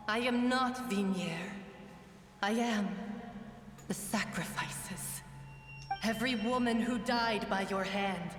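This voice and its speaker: An actress playing the vengeful spirit of murdered young women in a game, solemn and accusing. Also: female voice, mid-range voice, lightly acted. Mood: cold, solemn. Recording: echoey hall, distant, noisy.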